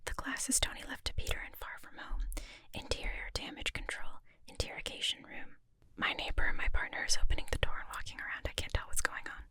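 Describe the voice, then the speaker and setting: A woman whispering an ASMR reading from inside a small closet, breathy and unvoiced, with self-conscious rambling asides. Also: brisk, sharp tone. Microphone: home mic, headphones on.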